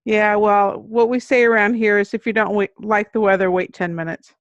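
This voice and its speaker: Female podcast guest, middle-aged, mid-pitched, casual anecdotal delivery, very dry recording. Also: steady tone, moderate pace, no room sound, highs cut off. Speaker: children's book author, podcast guest. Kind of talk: casual interview chat, anecdotal storytelling.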